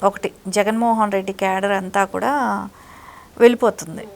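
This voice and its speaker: A woman's voice: mid-range voice, steady tone, normal speed, gravelly, a little mellow. A woman giving critical, opinionated political commentary as an interview guest, in long conversational explanations.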